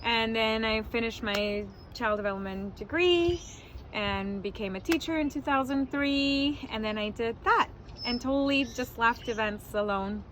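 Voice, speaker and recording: A woman's voice: a little high, a little slow, a bit bright, a little hiss or hum, rough recording. A woman in her forties who was born in Mexico, answering interview questions as a wedding planner in a candid, casual way, laughing often and swearing now and then.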